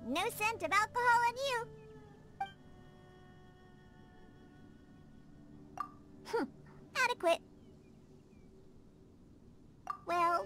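A woman playing a proud, prickly young cat-girl bartender in a video game, huffy and haughty, hesitant when she wants something. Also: high voice. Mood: haughty, appraising. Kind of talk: English video game voice acting.

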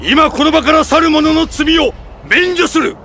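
Gravelly old army commander. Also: male voice, very high-pitched, pushed and bright, a little hiss or hum, heavily acted. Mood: commanding. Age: older. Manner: commanding, deliberate oratory. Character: stern, composed old male army commander. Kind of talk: dramatic anime game acting. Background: music.

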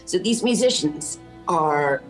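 A woman's voice: fairly low pitch, animated, takes it slowly, faint music behind, low-quality recording. A middle-aged Canadian woman, an orchestra conductor, speaking earnestly and with passion in a remote news interview over a video call from a room at home. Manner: earnest and impassioned.

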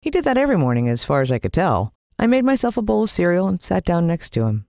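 An American woman narrating a children's story in the first person, expressive and suspenseful. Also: lowish voice, quick pace, dry recording, studio quality. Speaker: audiobook narrator.

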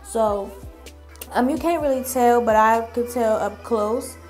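A woman's voice: on the high side, measured pace, darkish tone, soft background music. A woman makeup artist giving a casual, chatty voice-over tutorial, informally addressing her viewers.

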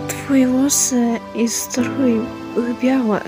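A woman's voice: a little high, steady tone, extremely slow. A woman playing a guilt-ridden, protective teenage heroine in a dramatic comic dub. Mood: sorrowful, hesitant. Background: sad cinematic music.

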